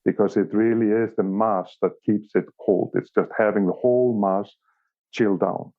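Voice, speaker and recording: A man with a fairly deep voice and an Icelandic accent talks over a narrow, phone-like connection. He gives casual interview answers haltingly, often repeating a word while he finds the next phrase. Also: fairly even intonation. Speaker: interview guest, company operations director. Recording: thin phone-like call audio.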